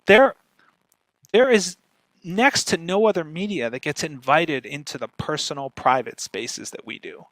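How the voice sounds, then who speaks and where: A man speaking conversationally as a guest expert, animated and often checking in with "right?". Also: on the high side, a little slow, no echo, poor audio. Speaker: guest speaker, podcaster and marketer. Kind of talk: conversational talk on podcast marketing.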